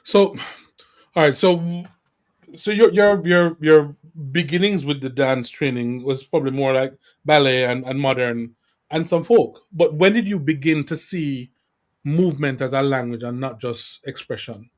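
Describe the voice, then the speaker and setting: A man with a somewhat high voice hosts a casual podcast interview. He feels his way toward each question, circling and rephrasing in a loose, conversational style. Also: a little slow.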